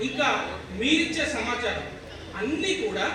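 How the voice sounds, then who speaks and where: A very high-pitched, chatty TV presenter acted by a man in a stage play. The delivery is emphatic and teasing, full of questions to the viewers. It sounds distant, in a very echoey, noisy hall. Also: very animated, moderate pace. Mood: bright, emphatic. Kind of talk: theatrical scripted presentation in a one-act play. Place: echoey hall, voice picked up from afar.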